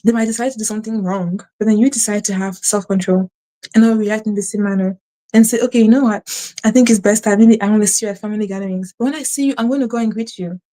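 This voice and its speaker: Woman channel host, mellow tone, quick and earnest, stutters and restarts; faith-based advice to camera. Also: mid-range voice, fairly even intonation, poor audio. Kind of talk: casual spiritual advice to camera.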